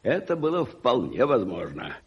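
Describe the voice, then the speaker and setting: An actor playing a wealthy, self-assured older gentleman uncle in a radio play, with a theatrical, condescending manner. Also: medium pitch, huge pitch swings, moderate pace. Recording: dull muffled 1981 radio recording.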